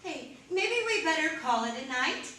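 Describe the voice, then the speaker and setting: A woman's voice: high voice, sing-song, normal speed, heavily acted. A woman playing a homely, doting, fussing older mother in a radio sci-fi drama. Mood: solicitous. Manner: homely, solicitous, chatty. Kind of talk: old-time radio sci-fi drama acting. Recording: echoey, distant, loud music behind.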